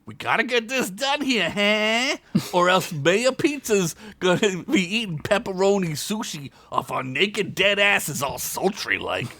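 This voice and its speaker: A man voicing a seven-foot gray male orc warehouse worker who is gruff, crude and shouts a lot. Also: sits high, lively intonation, a little slow, voice pushed up, full character voice. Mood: yelling.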